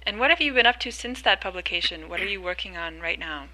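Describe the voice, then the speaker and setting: A woman's voice: on the low side, expressive, quick pace, bright, forceful tone, low-quality recording. A woman interviewing a guest on a podcast, brief and encouraging. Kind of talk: interview questions.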